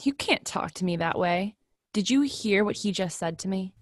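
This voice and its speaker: A woman's voice: mid-range voice, animated, moderate pace, lightly acted. A woman voicing an indignant, hostile commuter in a script reading. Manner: indignant, insulting. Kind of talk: readers theatre script reading.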